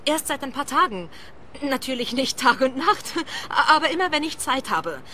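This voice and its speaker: An actress playing an anxious, neurotic woman in a German-language radio play, nervous, stammering and highly strung. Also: big pitch swings, moderate pace, pushed and bright, slight background noise, heavily acted. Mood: worried. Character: anxious neurotic woman, troubled former clinic patient.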